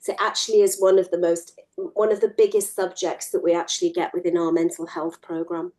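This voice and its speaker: A woman's voice: lowish voice, level tone, a little fast, a little mellow, poor audio. A woman who works in mental health, explaining her field steadily during a group discussion.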